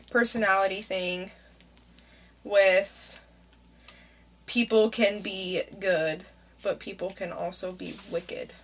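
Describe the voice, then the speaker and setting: A woman co-hosting a casual podcast who talks in an easygoing, musing way and often laughs. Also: medium pitch. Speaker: podcast co-host.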